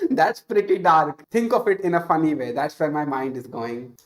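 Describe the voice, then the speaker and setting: A man's voice: on the high side, expressive, moderate pace. A young man commentating on online ads, mocking and incredulous, swearing freely. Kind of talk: sarcastic reaction commentary.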